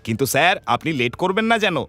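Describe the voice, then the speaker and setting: An eager, high-pitched junior policeman. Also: fast talker, dry recording, faint music behind. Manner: excitable, respectful. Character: eager, deferential junior male police officer. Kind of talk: animated crime drama acting.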